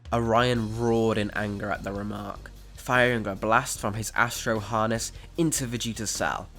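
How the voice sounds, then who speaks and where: A man's voice: on the low side, fairly even intonation, moderate pace, a bit bright. A man narrating a scripted dramatic story in the role of storyteller. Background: music.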